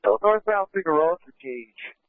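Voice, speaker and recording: A male police officer calling a vehicle pursuit over police radio in halting, clipped location updates. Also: sits high, animated, no room sound. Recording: narrow-band police scanner radio.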